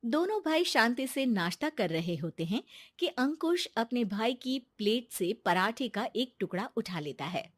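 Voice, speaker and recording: A woman's voice: fairly high pitch, lively intonation, a little slow, no echo, studio quality. A woman narrator reading a scripted cartoon moral story.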